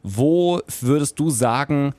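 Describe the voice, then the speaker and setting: A man hosting a chat show, asking questions in a casual, upbeat way with quick interjections. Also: medium pitch, darkish tone, dry recording. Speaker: show host.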